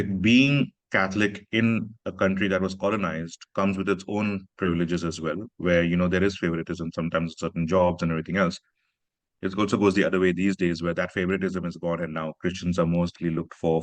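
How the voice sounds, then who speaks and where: A man's voice: low voice, level tone, brisk, dry recording. A man from Pakistan, an author, speaking earnestly and reflectively at a festival chat, often adding "you know" and "right". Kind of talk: conversational literary talk. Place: literary festival stage.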